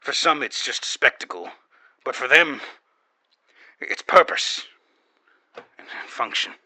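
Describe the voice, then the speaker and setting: A guarded corporate security officer played by a man gives testimony in an audio drama. His voice is very bright and forceful, with lively swings in pitch. He explains hesitantly, then lands pointed emphasis, all in a dry, close recording. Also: slow pace, husky, no room sound, full character voice. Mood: scornful, grim.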